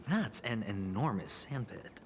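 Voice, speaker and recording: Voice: mid-range voice, lively intonation, measured pace, slight background noise, natural voice. An actor voicing a playful, mocking, theatrical trickster in a video game. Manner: mocking, amused, dramatic.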